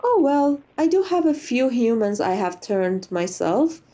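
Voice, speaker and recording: Chatty, gracious female vampire played by a woman for ASMR roleplay; higher-pitched, soft-edged mellow tone, genteel phrasing. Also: sing-song, measured pace. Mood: amused, chatty. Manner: genteel, conversational, slightly formal phrasing. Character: sociable, chatty, gracious old female vampire being interviewed.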